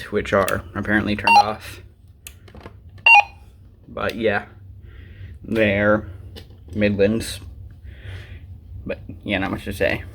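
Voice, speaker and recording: A man with a fairly low voice presents things from his collection in an unscripted, casual way. He rambles offhandedly and mutters asides to himself. The amateur home recording has some noise behind him. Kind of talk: casual unscripted show-and-tell. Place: indoors, at home by a desk. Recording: clearly noisy amateur recording.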